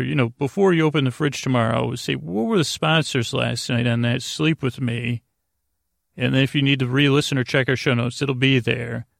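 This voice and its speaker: A man with a creaky voice hosting a bedtime podcast, rambling in a halting way and often interrupting himself on tangents. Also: medium pitch, no room sound, studio quality. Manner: meandering, hesitant, halting self-interruptions. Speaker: podcast host.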